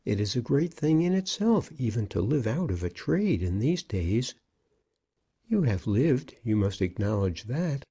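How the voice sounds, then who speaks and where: A plaintive old shopkeeper from a storybook, acted by a male narrator. The voice is lifted high and a little rough, wheedling and pleading as it dodges every question. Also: very animated, a little slow, warm tone, full character voice. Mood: pleading, defensive. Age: older. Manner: piteous, wheedling. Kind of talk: audiobook character acting.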